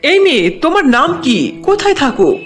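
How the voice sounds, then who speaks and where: Woman acting stern film director, high lively pitch. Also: moderate pace, soft background music. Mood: curious. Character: stern, impatient film director giving orders. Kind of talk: cartoon story dialogue.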